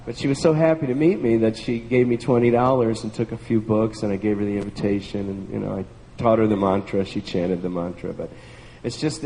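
Male participant, dark gravelly tone, lively anecdote delivery, distant roomy sound. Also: fairly low pitch. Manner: enthusiastic storyteller, laughs between phrases. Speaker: group participant. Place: large room with a group. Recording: distant echoey hall, faint hiss.

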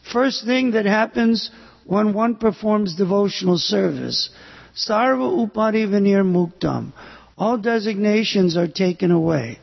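An older man preaches slowly in a high, gravelly voice with a husky edge. He halts often and fills gaps with "uh". The recording is dull and carries a long hall echo. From United States. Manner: slow, halting delivery with pauses and fillers. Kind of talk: sermon on scripture.

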